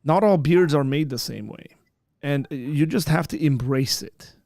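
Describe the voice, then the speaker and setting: A man's voice: on the high side, measured pace, vocal fry, a little mellow, dry recording, clean studio sound. A man in his thirties hosting a live stream in casual chat, rambling with many fillers and restarts. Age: 30s. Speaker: host of a live birthday stream. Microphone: streaming setup with green screen.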